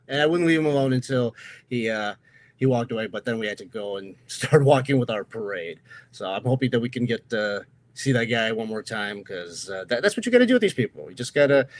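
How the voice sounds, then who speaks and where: Casting: man with a loud, projecting voice for a relaxed livestream guest, loose and rambling delivery. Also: medium pitch. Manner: loose storytelling with frequent uh fillers. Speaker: guest, atheist group president. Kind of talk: casual livestream chat.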